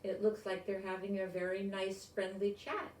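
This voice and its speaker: An older woman reading a picture book aloud with chatty asides, explaining gently and simply as if to children. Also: mid-range voice, level tone, moderate pace, slight background noise. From United States. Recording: roomy echo, distant microphone.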